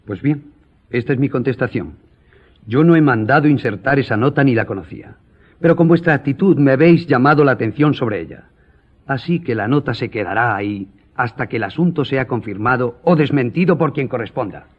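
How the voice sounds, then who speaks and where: An actor playing a proud, composed gentleman journalist in a radio drama, speaking formally and firmly. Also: medium pitch, slightly rough, muffled recording, close to an everyday voice. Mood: firm, offended. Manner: formal, measured, firm. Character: proud, composed male newspaper journalist, duel-ready gentleman.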